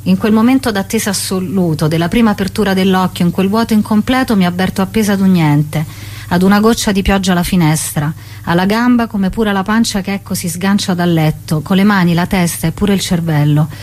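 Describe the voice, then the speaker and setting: A woman's voice: mid-range voice, brisk, darkish tone. A woman being interviewed as a playwright and actress, speaking reflectively and feeling her way through her phrases. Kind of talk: conversational radio interview.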